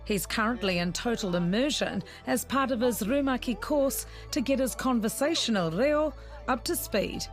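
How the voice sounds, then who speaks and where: A woman reporter delivers scripted news narration with an even, clear delivery. Her voice sits a little high. A music bed plays clearly beneath her. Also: normal speed. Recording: music bed under voice-over.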